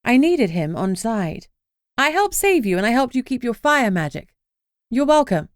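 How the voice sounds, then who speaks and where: A woman's voice: a little high, big pitch swings, moderate pace, no echo, studio quality, pitched above the performer's own voice. A woman reading a brash, irreverent young heroine's lines in an emphatic way.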